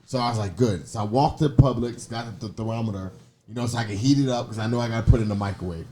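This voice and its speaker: A man's voice: fairly low pitch, very fast, dark tone, a little hiss or hum. A man telling a comic story in casual, slangy conversation, acting out the exchanges as he goes. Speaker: podcast guest telling personal stories.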